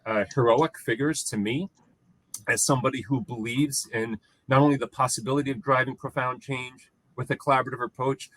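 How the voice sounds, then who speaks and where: A man's voice: mid-range voice, steady tone, a bit bright, no echo, poor audio. A man moderating a panel discussion, introducing guests and asking questions with frequent restarts and fillers. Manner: halting, many restarts and fillers.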